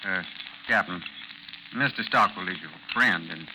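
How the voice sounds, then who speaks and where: An actor playing a respectful, loyal former army subordinate, a little hesitant, in 1950s radio drama style. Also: male voice, sits low, measured pace. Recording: noisy, crackling 1950s radio recording.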